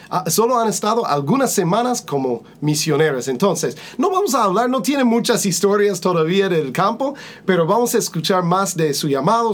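Upbeat host, level middle voice. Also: medium pitch. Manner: upbeat, repeats words when starting sentences. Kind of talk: interviewing guests.

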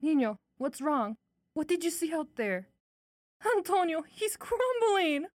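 Woman as an anxious, high-voiced candy mother. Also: sing-song, a little slow, dry recording, clean studio sound. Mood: alarmed. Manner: anxious, pleading. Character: worried candy mother and wife. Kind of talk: audio drama acting.

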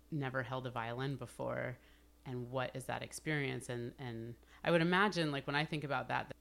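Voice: mid-range voice, creaky, a bit bright. An interviewer who talks thoughtfully and at length, with frequent "like" fillers. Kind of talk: casual interview talk.

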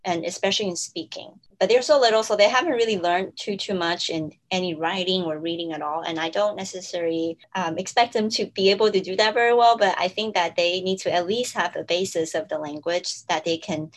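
A woman's voice: on the low side, a little fast, few pauses. A woman whose first language is Mandarin, a mother talking thoughtfully in a casual interview and laughing often.

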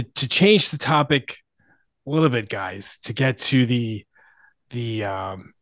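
A man's voice: mid-range voice, dry recording. A man hosting a sports podcast in a casual, conversational way. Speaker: podcast host.

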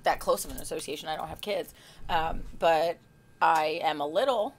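A woman's voice: low voice, normal speed. A woman guest on a podcast talking candidly about her private life, frank but hesitant, with many fillers. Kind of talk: candid personal chat.

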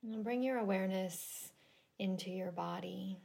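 A woman leading a guided relaxation meditation in a gentle, soothing, deliberate manner with long pauses. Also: mid-range voice, creaky, breathy edge. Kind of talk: guided yoga nidra meditation.

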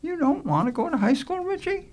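A man voicing a soft, sympathetic lady schoolteacher who speaks properly and gently in a storybook reading. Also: extremely high pitch, expressive, a little slow, gravelly, voice pushed up, full character voice. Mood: gentle, inquiring.

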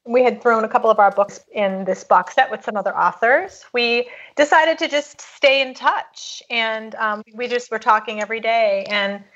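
A woman's voice: on the high side, steady tone, moderate pace, hardly any pauses, a bit bright, muffled recording. A woman from Boston, a guest author, chatting casually and easily, with lots of "you know" and "um". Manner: easygoing, many fillers like "you know" and "um". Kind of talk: casual interview chat.

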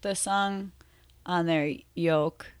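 A woman musician talking as a podcast guest in a halting, soft way, full of fillers, while losing her voice. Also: fairly low pitch, steady tone, clear, resonant tone, dry recording. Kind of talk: casual interview chat.

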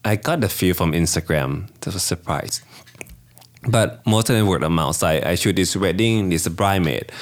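A man's voice: low voice, moderate pace. A man from Thailand with a Thai accent, a wedding photographer talking casually in an interview, in loose non-native English. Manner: non-native English with dropped grammar endings, laughs easily.

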